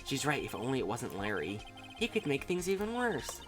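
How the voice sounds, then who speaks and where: Streamer voicing young male defense lawyer, earnest, rattled, swooping pitch, music. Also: wildly swooping pitch, normal speed. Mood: despairing.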